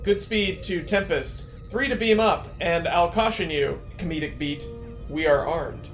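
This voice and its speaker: A man's voice: a little high, measured pace, music in the background. A man playing a tabletop game, riffing casually in a joking, rambling way.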